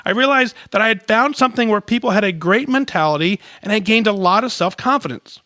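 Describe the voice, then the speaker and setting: A man with a high voice reads a listener's letter on his podcast, earnest and measured, his sentence endings falling soft. The sound is dry and close, with no room around it. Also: lively intonation, no room sound. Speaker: podcast host. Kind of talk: reading a listener's letter aloud.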